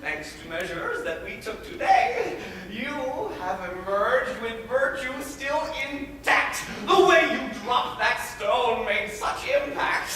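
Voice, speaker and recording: A man's voice: very high-pitched, sing-song, slow pace, few pauses, pushed and bright, a little hiss or hum, heavily acted. A man playing a pompous, smug old-style guardian. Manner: declaims rhyming verse theatrically. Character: pompous middle-aged male guardian, controlling and smug. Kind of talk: stage monologue. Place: high school auditorium. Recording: echoey hall from far off.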